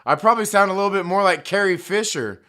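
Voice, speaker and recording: Hoarse, bright-voiced man chatting on stream. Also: high-pitched, fast. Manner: profane, joking, rambling, reads chat aloud. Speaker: live stream host. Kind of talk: casual live stream chat.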